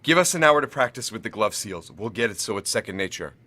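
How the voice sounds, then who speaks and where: A man's voice: on the low side, expressive, fast, pushed and bright, dry recording. An actor playing an easygoing, earnest male security rookie in a naturalistic audio drama, casual and reassuring.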